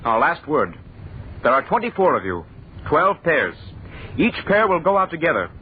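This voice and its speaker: An actor playing the terse, authoritative leader of centuries-old conspirators in an old-time radio horror drama. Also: male voice, a little high, sing-song, normal speed, a little hiss or hum. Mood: stern. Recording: narrow, muffled old radio broadcast.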